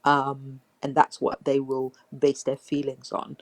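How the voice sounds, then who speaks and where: A man's voice: medium pitch, monotone, a little slow. A man on a live stream, chatting hesitantly with many ums and restarts. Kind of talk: casual live-stream chat.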